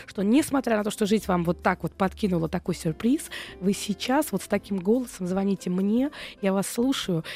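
A woman psychologist hosting a radio call-in show, who is slightly ill and gives advice in a calm, gentle tone with frequent hesitations. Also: medium pitch, creaky. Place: radio studio.

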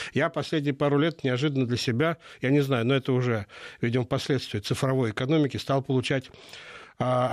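A man's voice: medium pitch, dry recording, clean studio sound. A middle-aged man giving interview answers, talking with hesitations, frequent fillers and restarts. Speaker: interview guest.

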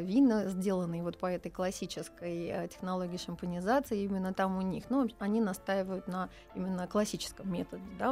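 A woman's voice: mid-range voice, a little mellow. A woman speaking as a wine expert guest on a radio show, explaining patiently with some fillers.

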